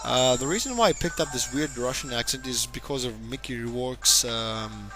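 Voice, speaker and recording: A young man commentating a video game battle, excitable and jokey, speaking to his audience as ladies and gentlemen. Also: mid-range voice, normal speed. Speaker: gaming commentator. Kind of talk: Let's Play battle commentary. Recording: music playing behind the voice.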